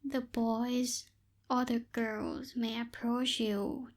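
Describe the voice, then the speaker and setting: A young woman voicing a bossy but secretly caring tsundere witch in a close-miked ASMR roleplay, softly scolding and a little hesitant. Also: on the high side, level tone, extremely slow. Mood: flustered, cautioning. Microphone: close binaural microphone.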